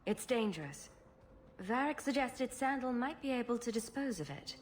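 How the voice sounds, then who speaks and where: An actress playing a sarcastic, cool-headed rogue champion in a video game, with a dry manner. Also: female voice, on the high side, very animated, normal speed, a little hiss or hum. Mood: matter-of-fact. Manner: dry, sardonic. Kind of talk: video game cutscene acting.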